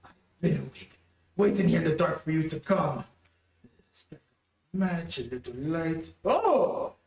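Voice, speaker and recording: An actor playing a treacherous, cowardly ex-convict in an excitable, theatrical old-time radio melodrama style. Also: male voice, a little high, unhurried, gravelly, exaggerated voice. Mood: menacing. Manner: theatrical, excitable pleading and threatening. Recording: echoey room, distant mic.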